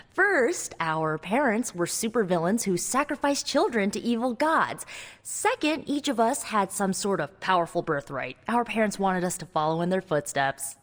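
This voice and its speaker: A woman's voice: mid-range voice, animated, lightly acted. A woman playing a young spellcaster hero in a video game, wry and guarded, talking in a conversational, hesitant way. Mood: wry storytelling. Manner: wry, hesitant, halting asides. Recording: long reverb, music underneath.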